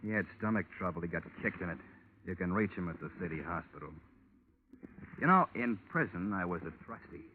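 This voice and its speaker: An actor playing a bitter, mocking ex-convict in 1950s radio drama style, on a thin, hissing old recording. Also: male voice, brisk, narrow, tinny audio. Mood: sullen. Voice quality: sneering, low and flat.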